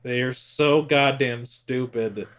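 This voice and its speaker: A man's voice: mid-range voice, fairly even intonation, takes it slowly, a bit bright. A man co-hosting a casual pop-culture podcast, speaking informally and bluntly in loosely worded opinions with some swearing. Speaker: podcast co-host.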